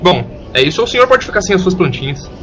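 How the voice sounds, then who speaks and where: Male actor as young orphan boy, high voice, quick pace, Brazilian accent, frank and curious, audio drama. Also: big pitch swings, a bit bright, a little hiss or hum. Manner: frank, inquisitive.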